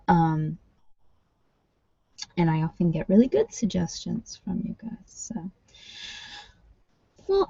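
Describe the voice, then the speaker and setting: A woman's voice: medium pitch, warm tone. A woman chatting casually and informally to a live online audience, rambling a little as she thinks aloud. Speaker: ASMR creator hosting a live workshop session with tarot readings. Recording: muffled, roomy sound.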